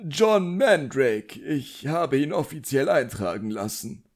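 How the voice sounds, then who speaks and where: A man's voice: medium pitch, big pitch swings, slow, voice pushed up. A man voicing a flustered bearded sorcerer in an audiobook, mumbling hesitantly. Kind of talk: audiobook character acting.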